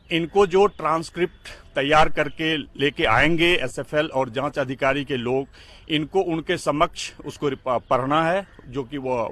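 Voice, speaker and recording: A man giving unscripted legal commentary for the news, halting and searching for words, mixing in some English. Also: on the high side, a bit bright.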